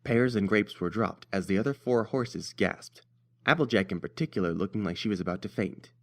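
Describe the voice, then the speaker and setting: A man's voice: on the low side, lively intonation, a little fast. A man reading scripted story narration as the narrator.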